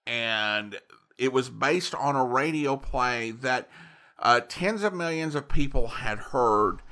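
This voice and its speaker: A man giving measured, conversational and opinionated review commentary as the host of a show. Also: a little high, fairly bright tone. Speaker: host reviewing old radio drama. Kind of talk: semi-scripted review commentary.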